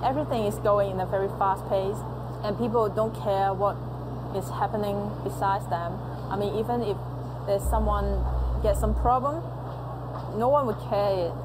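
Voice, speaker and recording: A woman's voice: medium pitch, steady tone, moderate pace. A woman speaking earnestly and critically in a documentary interview. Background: humming noise.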